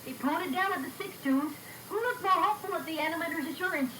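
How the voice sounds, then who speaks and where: Woman as Applejack, high-voiced storytelling pony, echoey tape. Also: quick pace, bright, forceful tone. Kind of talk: scripted fan-fiction narration. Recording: echoey, noisy reel-to-reel tape.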